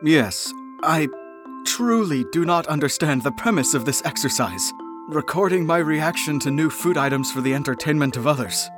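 An actor playing a haughty, formal alien prince in an audio drama, declaiming in a pompous, elaborate manner. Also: male voice, a little high, animated, normal speed, over music, lightly acted. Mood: skeptical, lofty.

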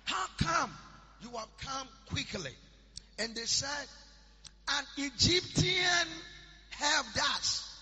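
A man preaching a sermon in a chanting, sing-song style that rises into shouts. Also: extremely high pitch, lively intonation, slow pace, pauses often. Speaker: pastor. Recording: church hall reverb with music behind.

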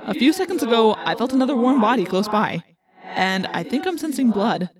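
A woman's voice: fairly high pitch, expressive, fairly quick, crowd noise, natural voice. A woman voicing an anxious boy, an ally in an audiobook story, speaking in an urgent, questioning way.

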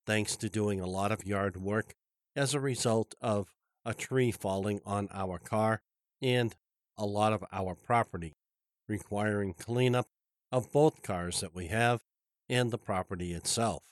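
A man teaching a Bible study as a pastor, speaking slowly and carefully in a formal tone. His voice sounds stuffy and congested, recorded close and dry with no room sound. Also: lowish voice, dry recording. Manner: formal, deliberate delivery.

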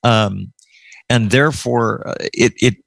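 A man hosting a panel discussion, speaking in a measured, wry way. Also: mid-range voice, vocal fry, a bit bright, no echo. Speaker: host.

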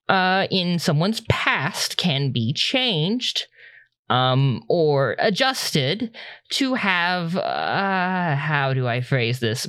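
A man's voice: fairly high pitch, a bit bright, smooth, no echo, studio quality, voice pushed up, heavily acted. Man playing a stammering, nasal, nervous doctor. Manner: stammering, fumbling for words. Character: nervous, apologetic male research doctor.

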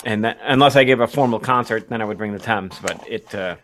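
A man's voice: fairly low pitch, fast, fairly bright tone, a little hiss or hum. A man co-hosting a podcast in casual conversation, often stammering and restarting his phrases. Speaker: podcast co-host.

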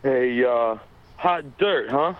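A man's voice: mid-range voice, slow pace, clear, resonant tone, no room sound, close to an everyday voice. A man doing a shady drawling caller. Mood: sly. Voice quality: drawling, with many filler sounds. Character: shady, smooth-talking male caller. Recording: dull lo-fi phone sound.

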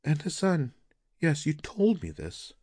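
A gentle, devoted wife from a fantasy audiobook, acted by a man. The voice is raised well above a low natural register and speaks in soft, formal, measured phrases, close and dry. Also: wildly swooping pitch, slightly rough, no room sound, full character voice. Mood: calm. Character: gentle, devoted wife of a Japanese musician, proud and concerned. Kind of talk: audiobook character dialogue.

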